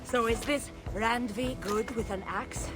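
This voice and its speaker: A woman's voice: on the high side, unhurried, natural voice. An actress playing a confident, cool female mercenary in a video game, probing and self-assured. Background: game music.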